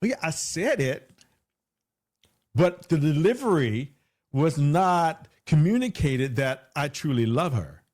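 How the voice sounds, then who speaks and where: A man's voice: fairly high pitch, expressive, slow pace, a little mellow. Man, guest in an interview, big voice, emphatic, repeats key phrases. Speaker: guest, hypnotherapist. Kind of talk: conversational interview.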